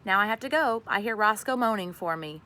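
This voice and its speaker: A woman's voice: medium pitch, lively intonation, brisk, sharp tone. A woman voicing a cold, accusing neighbour mother in a children's storybook, stern and curt. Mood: stern, indignant. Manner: stern, curt, listing grievances. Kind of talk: children's storybook read aloud.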